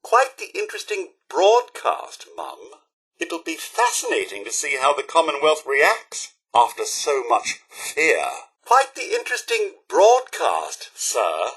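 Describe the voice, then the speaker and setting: An actor playing a polite, fussy robot butler in a video game, with a British accent and a proper, theatrical delivery. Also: male voice, a little high, pushed and bright, breathy edge, full character voice, low-quality recording. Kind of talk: scripted video game companion lines.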